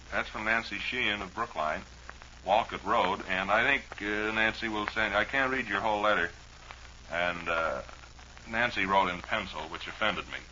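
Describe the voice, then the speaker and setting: A man's voice: on the low side, pushed and bright, highs cut off, slight background noise. A man hosting a 1940s live radio show, chatting and reading listener mail in a rambling, dryly humorous, mock-serious way, on an old broadcast recording.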